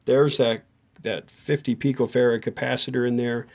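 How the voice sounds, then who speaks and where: Mellow-voiced man giving a halting hands-on tutorial. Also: mid-range voice, fairly even intonation, vocal fry. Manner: halting, self-correcting, informal. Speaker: host of a vintage radio repair tutorial. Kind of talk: unscripted hands-on technical explanation.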